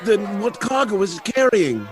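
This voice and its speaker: A man's voice: high-pitched, big pitch swings, normal speed. A man playing an urgent, commanding man in charge of a factory, declaiming in 1930s radio drama style.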